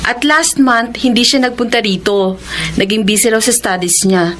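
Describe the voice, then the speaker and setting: A woman's voice: a little high, steady tone, moderate pace, fairly bright tone, slight background noise, lightly acted. An actress playing a chatty, reassuring saleslady friend in a radio drama. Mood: teasing, reassuring.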